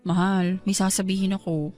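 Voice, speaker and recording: A woman's voice: mid-range voice, level tone, moderate pace, warm tone, natural voice. An actress playing a young pregnant woman in a Filipino radio drama, polite, earnest and pleading, with faint music underneath. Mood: worried. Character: pregnant young woman, kind worried neighbor.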